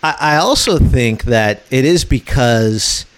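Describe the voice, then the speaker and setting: Male radio co-host from Chicago, average pitch, eager, stumbles into his questions. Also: expressive, takes it slowly, clear, resonant tone. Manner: enthusiastic, repeats words when starting sentences. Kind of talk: radio interview, asking questions.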